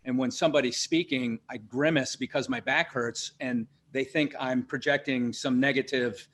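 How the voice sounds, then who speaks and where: A man hosts an interview in a relaxed, conversational way, speaking at a middle pitch. He often stops and restarts his sentences and tells stories from his own working life. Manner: halting, many restarts and fillers, tells personal anecdotes. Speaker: interview host, leadership teacher.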